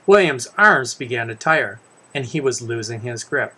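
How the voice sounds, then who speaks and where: Bright-voiced man reading a children's story. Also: mid-range voice, expressive, normal speed, husky. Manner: conversational, stumbles and corrects himself. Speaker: author reading his own children's book aloud. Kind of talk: first-person story reading with casual asides to listeners.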